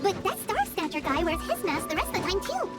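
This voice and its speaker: A woman voicing a tiny, childlike, excitable fairy companion who chatters and exclaims in video game dialogue. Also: a little fast. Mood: curious, chatty. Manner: squeaky, exclamatory, refers to herself by name. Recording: loud music behind the voice. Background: loud game music.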